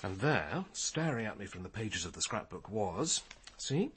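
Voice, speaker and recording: An actor playing a thoughtful senior police detective in a radio crime drama, measured and deliberate. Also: male voice, fairly low pitch, very animated, slight background noise. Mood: absorbed, musing. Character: methodical, thoughtful senior police detective, male, quietly probing.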